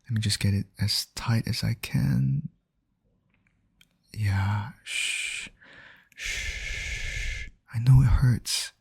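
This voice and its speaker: A man acting as a calm, caring medic in an ASMR roleplay, gently reassuring a patient and explaining each step. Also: on the low side, extremely slow, gravelly, long pauses, breathy edge, full character voice. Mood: comforting. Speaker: voice actor in roleplay audio.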